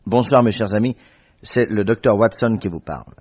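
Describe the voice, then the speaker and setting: An actor playing a cheerful doctor who narrates, hearty and expressive, in an old French radio drama. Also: male voice, fairly low pitch, moderate pace, natural voice. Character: warm, cheerful male doctor and friend, narrator. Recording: dull lo-fi old radio recording.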